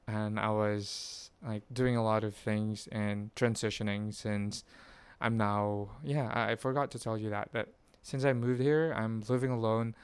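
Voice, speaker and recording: A man's voice: medium pitch, clear, resonant tone, no room sound. A man in his twenties from the Philippines, chatting to camera about his life in a rambling way, full of fillers and self-corrections. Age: 20s. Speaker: vlogger. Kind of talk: rambling personal chat to camera.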